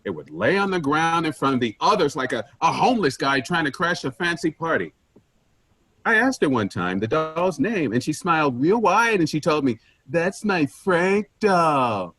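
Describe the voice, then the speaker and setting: A man playing an aggrieved, sardonic office worker with a husky voice in a staged play reading. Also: on the high side, big pitch swings, normal speed, a bit bright, full character voice.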